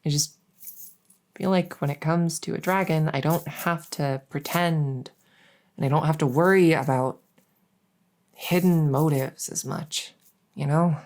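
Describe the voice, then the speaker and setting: A woman's voice: deep voice, darkish tone. A woman playing a world-weary young princess in an intimate audio roleplay, speaking hesitantly and confidingly, sometimes close to a whisper. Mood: exasperated, wistful. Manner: hesitant, halting, confiding, with trailing pauses.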